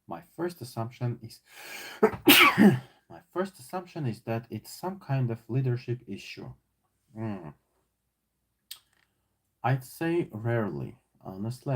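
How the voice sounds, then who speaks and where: A man with an Armenian accent talks through a leadership workbook, musing aloud with plenty of fillers and restarts. His voice sits on the low side and carries a gravelly, slightly husky grain. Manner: thinking aloud, many fillers and repeats. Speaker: teacher working through a leadership book. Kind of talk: casual self-assessment talk.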